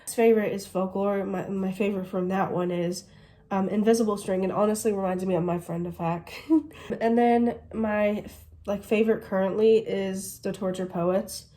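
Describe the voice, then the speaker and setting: A woman's voice: medium pitch, steady tone, moderate pace, warm tone. A woman in her twenties from the United States, chatting casually through a Q&A vlog and gushing as she goes. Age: 20s. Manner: chatty, gushing, says "literally" often. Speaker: vlogger.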